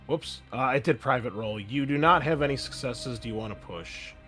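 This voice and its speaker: A man running a tabletop roleplaying game as host, narrating casually in a halting, conversational way. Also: mid-range voice, sharp tone, soft background music. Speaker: game master and podcast host.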